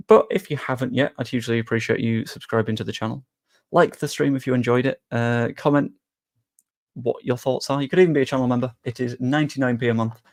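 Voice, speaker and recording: English guy, young, relaxed streamer chatter, slangy and casual, gravelly edge to the voice. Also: mid-range voice. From England. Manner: chatty and easygoing, with frequent fillers and British slang. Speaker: live streamer. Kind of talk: casual live stream chat, reading and answering viewer questions.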